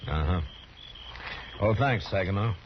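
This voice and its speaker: Vintage radio western: a steady American lawman, dry and commanding, few words, muffled recording with slight hiss. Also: low voice, a little slow. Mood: probing. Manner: laconic, dry, authoritative. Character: steady, dry, tough frontier marshal. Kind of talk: old-time radio western acting.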